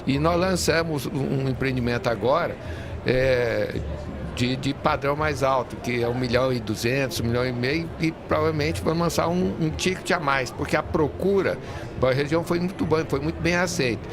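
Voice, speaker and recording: A man talks as an interview guest at a medium pitch. He explains in a halting, repetitive way, with clear venue noise behind him. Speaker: real estate industry leader, guest. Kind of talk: interview conversation. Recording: noisy venue with urban ambience. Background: clear ambient noise of a busy fair.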